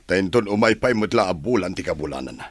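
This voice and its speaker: An actor playing a stern, irritable older patriarch in a radio drama, gruff and commanding. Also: male voice, low-pitched, animated, quick pace, dry recording.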